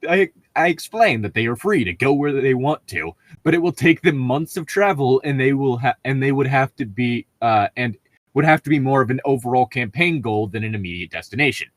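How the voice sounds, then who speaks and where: Animated man reading and chatting casually. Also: mid-range voice, fairly quick, no echo. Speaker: podcast co-host. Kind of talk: casual banter and reading a story aloud.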